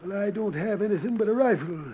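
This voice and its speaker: Man playing a high-voiced desperate prospector. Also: lightly acted. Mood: dazed. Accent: American. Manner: halting, earnest, protesting. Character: dazed, desperate gold prospector pleading his innocence. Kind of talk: 1940s radio western acting. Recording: noisy, dull old radio recording.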